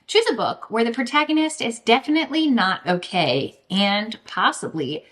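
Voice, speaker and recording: A woman chatting casually and emphatically to viewers as a book vlogger, with animated, informal delivery and a self-deprecating sense of humour. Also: fairly high pitch, normal speed. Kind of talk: casual chatty vlog to camera.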